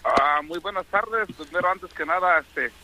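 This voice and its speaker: A man's voice: high voice, measured pace, pushed and bright. A man from Oaxaca with a Mexican accent, chatting casually as a caller to a radio show over the phone. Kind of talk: casual conversation. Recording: phone call with faint background noise.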